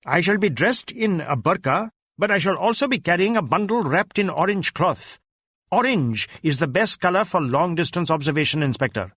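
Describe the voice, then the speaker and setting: A man's voice: sits high, lively intonation, moderate pace, a bit bright, dry recording, clean studio sound, voice pushed up. A man voicing a self-important police superintendent, clipped and commanding, in an audio drama.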